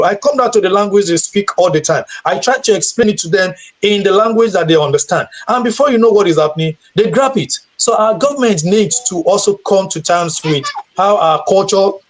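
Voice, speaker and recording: A man's voice: high voice, low-quality recording. A man from Nigeria, a guide and teacher, answering questions earnestly on a video call, often repeating words as he searches for them. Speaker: guest tour guide and teacher. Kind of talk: live stream interview answer.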